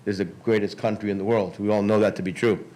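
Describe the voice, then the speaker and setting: A man's voice: on the low side, steady tone, fast talker, a little mellow. A man giving an informal public speech, often repeating words and restarting as he goes. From Miami, United States. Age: 60s. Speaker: conference speaker.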